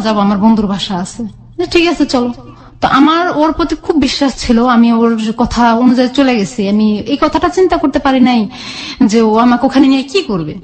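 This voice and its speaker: A Bangladeshi-accented woman with a fairly high voice tells a personal story as a radio guest. She talks quickly and conversationally, replaying both sides of remembered exchanges. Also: rough recording. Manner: animated retelling with quoted dialogue. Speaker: guest telling own life story.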